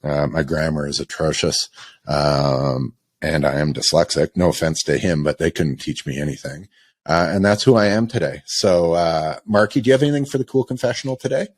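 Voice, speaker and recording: A Canadian man hosting a podcast in a studio, talking in an energetic, joking, confessional way. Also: rumbling low, brisk, no room sound. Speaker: podcast host. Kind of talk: casual podcast chat.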